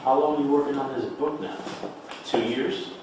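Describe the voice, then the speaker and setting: A man playing a blunt, hurt friend in a table read of a play, reproachful and deliberate. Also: mid-range voice, voice pushed up, natural voice. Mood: cold reproach. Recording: echoey room, far mic, clear noise.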